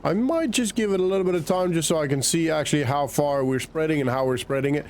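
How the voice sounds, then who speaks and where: A man with a croaky, nasal voice from a cold, chatting casually as he plays a farming game and thinks aloud. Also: fairly high pitch, fast talker, a little mellow, slight background noise. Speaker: gaming channel host. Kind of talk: casual gameplay commentary.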